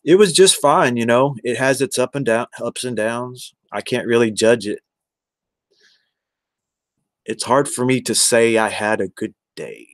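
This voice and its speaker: A man's voice: mid-range voice, measured pace, no room sound, studio quality. An American man in his forties chatting casually on a livestream, rambling and addressing viewers one by one. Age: 40s. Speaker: live streamer.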